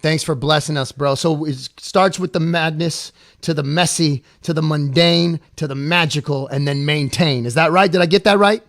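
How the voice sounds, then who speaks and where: Podcast cohost dude, dry close mic, jokey and hyped, lots of yeahs. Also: male voice, a little high, a bit bright, dry recording. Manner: enthusiastic, jokey, quick affirmations. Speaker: podcast co-host. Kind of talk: casual chat.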